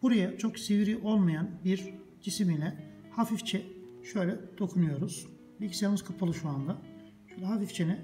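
Tutorial host, male, mellow and patient, talks viewers through steps, soft guitar underneath. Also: high-pitched. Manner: patient, repeats steps, addresses viewers as friends. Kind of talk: casual step-by-step tutorial. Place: indoors at a desk, off camera.

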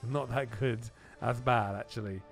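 A man giving casual, excitable gameplay commentary as a video host, often repeating phrases for emphasis. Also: medium pitch, moderate pace, vocal fry, faint music behind.

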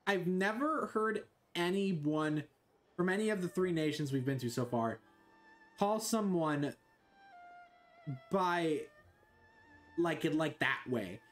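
A young man from Florida streaming a video game from his bedroom, joking, swearing and reacting loudly. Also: on the high side, animated, slow, long pauses, soft background music. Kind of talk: casual game-stream commentary. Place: small bedroom indoors. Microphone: desk mic, wearing a headset.

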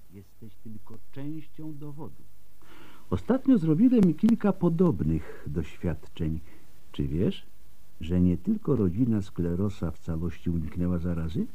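A man's voice: low-pitched, big pitch swings, measured pace, husky, phone-quality sound, exaggerated voice. An actor playing a shrewd, skeptical old male doctor in a radio drama. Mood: thoughtful, measured.

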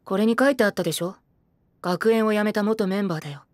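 A man's voice: sits high, fast, no room sound, studio quality, close to an everyday voice. A man playing a bitter, self-deprecating teenage boy idol and former child star in a Japanese audio drama. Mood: flippant, sulky. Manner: cheeky, self-deprecating, theatrical.

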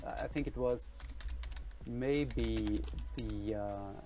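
A man's voice: lowish voice, extremely slow, warm tone, a little hiss or hum. A middle-aged Norwegian man with a Norwegian accent, chatting casually as an interview guest, often hesitating and repeating words. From Norway. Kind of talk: casual chat. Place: room at home, video call.

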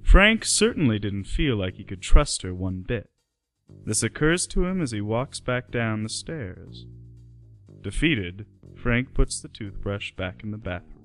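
A man's voice: lowish voice, sing-song, unhurried, a bit bright, faint music behind. A man giving scripted narration for a radio play.

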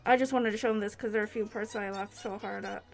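A woman's voice: medium pitch, fairly even intonation, fast talker, creaky, soft background music. A young woman chatting casually as co-host of a reaction video, speaking through a cloth mask. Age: young adult. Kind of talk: casual chat. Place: small furnished room at home, on a couch. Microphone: mic out of frame, speaking through a cloth mask.

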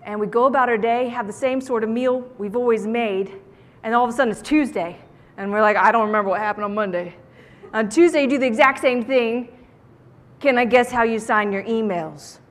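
A woman giving a motivational keynote talk to an audience on stage, with an animated, theatrical delivery and playful emphasis. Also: fairly high pitch, fairly quick. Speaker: keynote speaker. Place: large indoor conference room, on stage. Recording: echoey conference hall sound.

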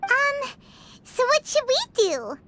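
Tiny childlike game fairy, very high squeaky voice, bouncy intonation, cheerful, cheeky. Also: female voice, huge pitch swings, takes it slowly, pushed and bright, slight background noise, full character voice. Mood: curious, eager. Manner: bubbly, refers to herself in third person. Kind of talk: video game character dialogue. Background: prominent background music.

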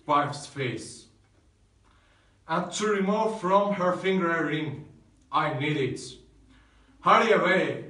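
An actor playing a desperate, grieving young lover, moving between pleading shouts and hushed sorrow, in an echoey room from a distance. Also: male voice, medium pitch. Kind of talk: modern-language play reading.

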